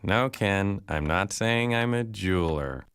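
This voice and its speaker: An actor playing an unimpressed, skeptical man in an animated comedy game, dry and weary in manner. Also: fairly low pitch, measured pace, noisy background.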